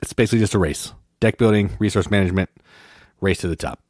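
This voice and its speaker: A man's voice: low-pitched, quick pace, darkish tone, no room sound, studio quality. A man co-hosting a podcast, explaining game rules in casual chat, rambling and correcting himself. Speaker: podcast co-host.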